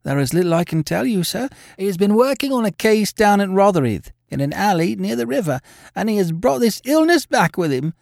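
An anxious older landlady, acted out by a man, pleads in a high, swooping voice. The delivery is urgent and deferential, full of storybook distress, with a close, dry sound. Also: big pitch swings, brisk, vocal fry, a little mellow, dry recording, exaggerated voice. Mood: anxious, resigned.

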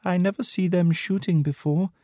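A man's voice: high voice, steady tone, moderate pace, dark tone, dry recording, studio quality, voice pushed up, natural voice. A man voicing a curious young foreign girl soldier in a war novel, speaking in simple broken phrases.

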